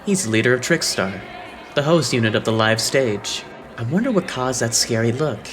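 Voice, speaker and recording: A man's voice: medium pitch, expressive, moderate pace, close to an everyday voice. A man voicing a suave, slyly playful young idol leader in an anime fandub, composed and teasing.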